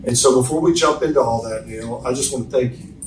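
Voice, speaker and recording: A man's voice: mid-range voice, fast talker, a little hiss or hum. A man hosting a podcast in a hotel suite, talking in a friendly, upbeat, chatty way. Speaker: podcast host. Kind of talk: casual interview. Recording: roomy hotel suite, distant mic.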